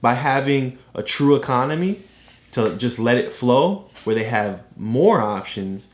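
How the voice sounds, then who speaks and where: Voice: mid-range voice, animated, a little slow. An adult patiently explaining things to a child in a casual read-along lesson, often checking for understanding. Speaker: parent tutor explaining a book to a child. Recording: echoey room.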